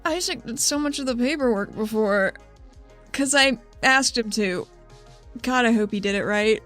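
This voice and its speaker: A woman's voice: fairly high pitch, normal speed, fairly bright tone, soft background music. A woman playing a tabletop game in character, talking in a bubbly, playful and enthusiastic way. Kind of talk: in-character role-play.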